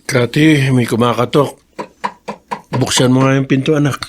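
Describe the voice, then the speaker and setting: An actor playing a remorseful, troubled father in a Filipino radio drama, speaking in a halting, earnest, emotional way. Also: medium pitch, steady tone, noisy background, lightly acted. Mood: calm. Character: remorseful adult father, troubled by a family secret.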